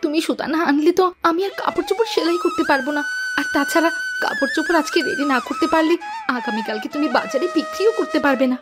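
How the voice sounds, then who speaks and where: A woman's voice: extremely high pitch, wildly swooping pitch, fast talker, music in the background, heavily acted. A woman playing a harried, quarrelsome village seamstress wife in a cartoon. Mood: pleading. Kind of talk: animated cartoon voice acting.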